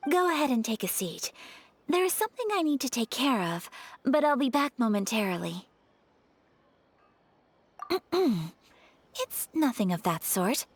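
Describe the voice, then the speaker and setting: An actress playing a refined, gentle young noblewoman in a video game, with formal, polite and earnest phrasing. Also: on the high side, big pitch swings, unhurried, pauses often, slightly rough, full character voice. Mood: gracious.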